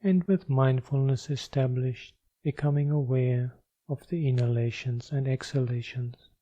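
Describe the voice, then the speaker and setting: A man leading a guided meditation as a teacher, speaking in measured, deliberate phrases of gentle instruction. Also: medium pitch, steady tone, dry recording, dull audio.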